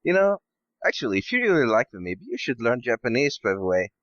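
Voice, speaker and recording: A man speaking as a podcast guest, tentative and halting as he thinks through his opinion in casual discussion. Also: medium pitch, very animated, a bit bright, no room sound, lo-fi sound.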